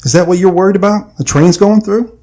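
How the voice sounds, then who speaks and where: An actor playing an earnest, curious adventurer who also narrates, in a husky voice, for an audio adventure drama. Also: male voice, sits high, brisk, mellow.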